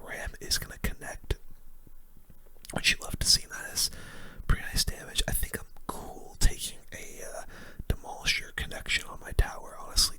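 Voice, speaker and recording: Whispering ASMR gamer, bright and breathy. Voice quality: soft, breathy unvoiced whisper. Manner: casual, laid-back rambling. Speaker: gaming video creator. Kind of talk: whispered ASMR game commentary.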